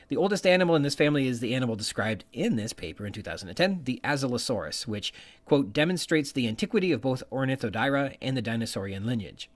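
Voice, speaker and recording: A man hosting a podcast, explaining research in a semi-scripted way, reading out technical terms and quotations with informal asides. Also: medium pitch. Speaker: podcast host.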